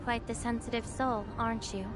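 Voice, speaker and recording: A woman's voice: on the high side, flat delivery, a little fast, lightly acted. A woman playing an enigmatic, self-assured female companion in a video game, teasing and aloof, shifting between formal phrasing and flippant slang. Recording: music under the voice.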